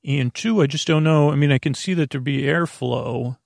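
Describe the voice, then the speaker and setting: A man hosting a bedtime podcast in a meandering, halting, reassuring monologue that often trails off and circles back. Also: gravelly, darkish tone, no room sound, studio quality. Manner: reassuring, low-pressure, addresses the listener directly. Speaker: host of a bedtime sleep podcast. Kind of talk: rambling bedtime monologue to help listeners fall asleep.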